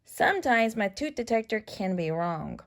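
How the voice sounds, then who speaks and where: A woman voicing a diligent, friendly tooth fairy in a children's storybook, speaking carefully and deliberately. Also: mid-range voice, very animated, vocal fry. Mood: kindly. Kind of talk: children's storybook read-aloud.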